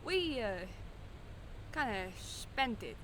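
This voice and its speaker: High, whimpering, terrified thug. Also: male voice, big pitch swings, slow pace, background noise, exaggerated voice. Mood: cowed, apologetic. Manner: stammering, pleading. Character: terrified, whimpering, beaten young male thug. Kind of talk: audio drama acting.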